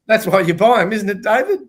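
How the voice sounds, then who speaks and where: A man's voice: high voice, very animated, a little fast. An older man hosting a casual panel show over a video call, sounding nasal and throaty from a cold, chatty and jokey. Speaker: host.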